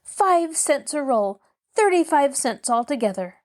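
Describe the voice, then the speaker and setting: An indignant young girl from a storybook, played by a woman narrator. Her voice is pitched high and lands hard on its words. She is dramatic, fiery and full of wounded, haughty dignity. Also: very animated, measured pace, darkish tone. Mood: haughty, outraged. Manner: emphatic, dramatic, wounded pride.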